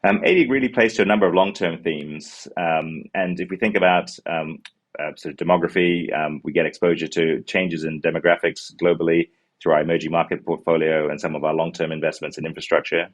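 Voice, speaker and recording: Very deep-voiced man with New Zealand accent. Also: fairly even intonation, a little fast. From born in Sri Lanka, raised in New Zealand. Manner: many ums and uhs, restarts words while explaining. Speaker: fund co-manager. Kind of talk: investment pitch answering questions. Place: office with glass walls, indoors. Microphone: earbuds on a video call.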